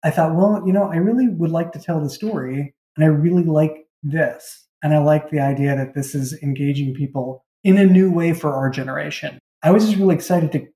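A man's voice: fairly high pitch, brisk, hardly any pauses, warm tone. A man, a writer, telling his story conversationally in a casual interview. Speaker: writer and audio drama creator. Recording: roomy, echoey sound.

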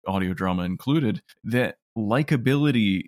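Low deep guy producer explaining stuff casually, close dry recording. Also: expressive, moderate pace, a little mellow, dry recording. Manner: explanatory, conversational. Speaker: audio drama producer, interview guest. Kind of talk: casual interview chat.

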